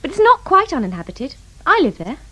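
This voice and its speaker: High, lilting young scientist. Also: female voice, very animated, brisk, sharp tone, heavily acted. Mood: polite, earnest. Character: polite young female marine biologist, earnest. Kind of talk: radio drama dialogue.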